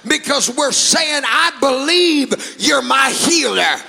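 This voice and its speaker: A male pastor whose first language is Spanish, preaching with shouting, sing-song phrases and calls to the congregation. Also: very high voice, expressive, takes it slowly, gravelly, in a large hall, faint music behind. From Latin America. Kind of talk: sermon. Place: church sanctuary.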